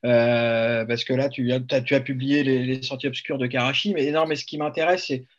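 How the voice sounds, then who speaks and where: A man's voice: mid-range voice, fairly even intonation, fairly quick. A man moderates an informal interview, putting questions in a hesitant, wry and familiar way. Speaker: webinar moderator. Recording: dull lo-fi webinar audio.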